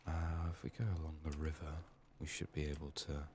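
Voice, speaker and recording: Very deep, soft-spoken man, often whispering. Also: monotone, a little fast, slight background noise. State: sick, resting a strained voice. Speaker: video game streamer.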